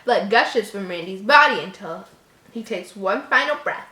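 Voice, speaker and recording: A woman's voice: medium pitch, animated, normal speed, pushed and bright. Female story reader, horror material, trips over lines, drops in exasperated commentary; echoey room sound. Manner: stumbles over words, breaks off into mocking, exasperated asides. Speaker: host reading a story aloud. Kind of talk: reading a horror story aloud with exasperated asides.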